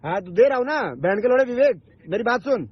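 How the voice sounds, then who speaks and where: A man's voice: extremely high pitch, expressive, quick pace, slightly rough, no echo. A man in a heated phone argument, confrontational and insistent, swearing and repeating himself. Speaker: online video maker. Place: phone call recording. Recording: dull lo-fi recorded phone call.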